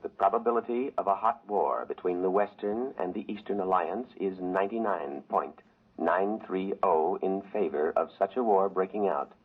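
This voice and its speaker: A man's voice: low voice, normal speed, slightly rough. A man playing an emotionless computer brain in a 1950s radio drama, speaking in a formal, precise, machine-like way. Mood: impassive, ominous. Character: emotionless giant electronic brain reporting grim data. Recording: muffled phone-like old radio recording.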